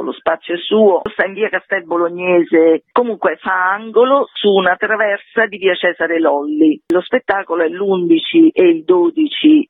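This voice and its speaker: A woman's voice: fairly low pitch, slightly rough, no room sound. A woman explaining things in an informal, self-ironic way as a theatre guest in a radio interview over the phone. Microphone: phone call to a radio show. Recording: tinny, muffled phone line.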